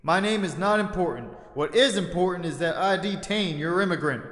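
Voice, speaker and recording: Echoey hall, high male voice, actor playing officious agent, cold, stiff, curt, comic audio drama. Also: a little fast, fairly bright tone. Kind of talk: comedic audio drama acting.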